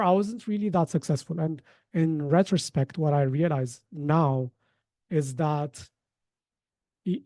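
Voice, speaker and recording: A man's voice: on the high side, dark tone, no echo. A man in his thirties from Ethiopia, presents an informal webinar in a conversational, explanatory way, thinking aloud as he goes. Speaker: webinar host, company founder.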